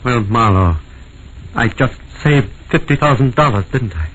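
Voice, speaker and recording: A betrayed, sorrowful gentleman, acted by a man, speaks haltingly with wide swings of pitch. It is subdued vintage radio drama, slightly dull in sound with faint noise. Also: animated, lightly acted. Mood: forlorn, grateful. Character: wealthy wheelchair-bound male client, sad and betrayed. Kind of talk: 1940s radio drama acting. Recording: muffled old radio recording, faint noise.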